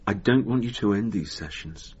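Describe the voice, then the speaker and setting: Intense, raspy-voiced therapist. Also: male voice, on the low side, lively intonation. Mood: earnest, insistent. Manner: halting, urgent, insistent. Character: intense, hesitant male hypnotherapist, insistent and secretive. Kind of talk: naturalistic radio drama acting. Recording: dull lo-fi radio broadcast.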